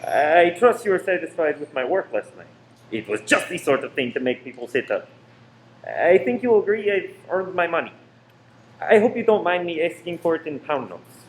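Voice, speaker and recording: A man's voice: on the high side, animated, moderate pace, close to an everyday voice. A man playing a proud but uneasy hired saboteur in a radio play, defensive and emphatic. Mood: self-satisfied. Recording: echoey, faintly noisy room.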